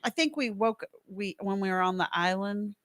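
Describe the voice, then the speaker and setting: A woman with a Texas accent co-hosting a radio talk show, adding quiet remarks to the chat. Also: medium pitch, lively intonation, normal speed, no room sound, clean studio sound. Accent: Texan. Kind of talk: casual chat.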